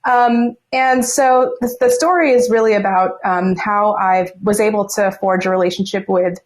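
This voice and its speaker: A woman's voice: medium pitch, fairly even intonation, normal speed. A woman, a guest author answering interview questions in a reflective, chatty way. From Los Angeles.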